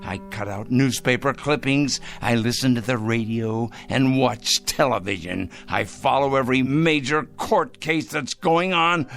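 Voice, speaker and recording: Radio drama role: a self-righteous zealot, wildly swooping pitch, bright insistent edge, fussy and repetitive. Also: male voice, gravelly. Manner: fussy, excitable, repeating himself emphatically. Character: fanatical, self-righteous male crank obsessed with punishing evil.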